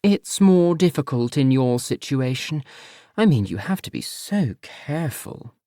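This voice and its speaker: A man's voice: medium pitch, very animated, mellow, no room sound, studio quality. A man putting on a simpering mother. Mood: cloying. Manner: simpering, slow and cloying. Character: genteel fussing mother, older woman.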